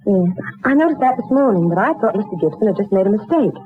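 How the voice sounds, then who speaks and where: An actress playing an uneasy, earnest young working woman in an American 1940s radio drama, speaking hesitantly and deliberately with an American accent. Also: medium pitch, expressive, lightly acted. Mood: grave, searching. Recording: muffled, tinny 1940s radio recording with music.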